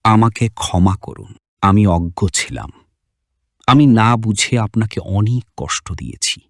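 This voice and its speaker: A male actor plays a man of rank full of remorse. He speaks slowly in a fairly low voice, recorded dry, as in an animated morality story. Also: no echo. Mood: remorseful. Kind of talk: dramatized Islamic cartoon story.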